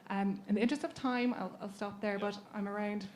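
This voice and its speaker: A woman with an Irish accent speaking on a conference panel about patient advocacy, talking briskly with frequent ums. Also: medium pitch, steady tone, a little mellow, slight background noise. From Ireland. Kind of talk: panel discussion talk. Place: conference session.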